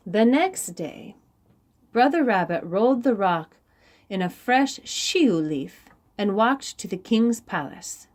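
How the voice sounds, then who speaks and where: A woman narrates a storybook for young listeners. She takes her time, pausing deliberately, and her pitch moves in an animated, dramatic way. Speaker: children's story reader. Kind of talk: expressive storybook read-aloud.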